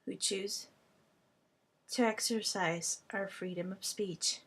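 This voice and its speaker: Woman, native Hungarian speaker, language tutor; unhurried vlog monologue, halting short phrases. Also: medium pitch, steady tone, breathy edge.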